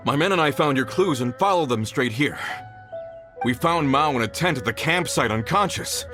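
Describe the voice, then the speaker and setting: A man's voice: mid-range voice, expressive, normal speed, music in the background, lightly acted. An actor playing an earnest, middle-aged mining foreman who sounds friendly and eager to help. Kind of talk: video game voice acting.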